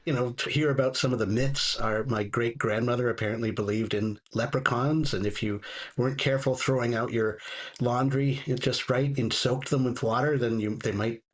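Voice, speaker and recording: A man's voice: mid-range voice, fairly even intonation, moderate pace. A man chatting casually as a guest, in a hesitant, stop-start way. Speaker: guest contributor. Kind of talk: casual chat.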